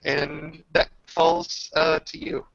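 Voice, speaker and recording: A man narrating an improvised tabletop game as game master, halting with drawn-out pauses as he describes the action. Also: a little high, sing-song, gravelly, a bit bright, no echo. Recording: dull lo-fi voice recording.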